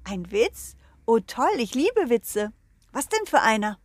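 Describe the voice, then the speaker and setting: A woman voicing an eager, naive and friendly dog in a children's story, with an excitable, questioning manner. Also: on the high side, slow, no room sound, heavily acted.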